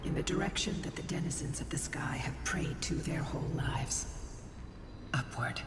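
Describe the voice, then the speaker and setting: An actress playing an aloof, ancient wandering woman in a video game, with a breathy, whispery voice. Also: deep voice, normal speed, lightly acted. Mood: aloof, calm. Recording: distant, echoing, loud game music over it.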